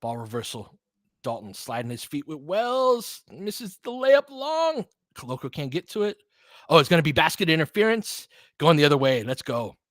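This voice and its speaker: A man's voice: fairly high pitch, big pitch swings, normal speed, fairly bright tone, dry recording. A man doing casual, partisan live basketball play-by-play in short, clipped, informal phrases, reacting emotionally to each play. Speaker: play-by-play commentator and host. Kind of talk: casual, fan-biased live basketball commentary.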